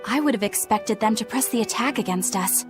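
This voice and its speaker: A woman's voice: on the high side, brisk, over music, close to an everyday voice. A woman playing earnest young exorcist Eleanor. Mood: serious. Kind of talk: game dialogue dub. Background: game score.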